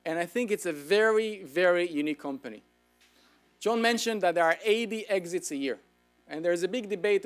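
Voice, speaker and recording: A man with an Israeli accent, lecturing an audience with enthusiasm and asking them questions. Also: sits high. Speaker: speaker.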